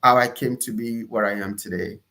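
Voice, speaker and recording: A man's voice: on the low side, fairly even intonation, normal speed, pushed and bright. A man from Nigeria with a Nigerian accent, speaking as an interview guest in an emphatic, conversational storytelling way. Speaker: interview guest, entrepreneur and pastor.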